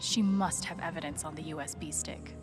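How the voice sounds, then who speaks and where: An actress voicing a determined, perceptive young woman in a video game, slightly creaky and often dropping to a hushed murmur. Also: sits low, fairly even intonation, brisk, close to an everyday voice. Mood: intent, plotting. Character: determined, perceptive young woman secretly reading other people's emotions. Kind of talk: video game dialogue and inner monologue. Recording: game audio with music underneath. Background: prominent music.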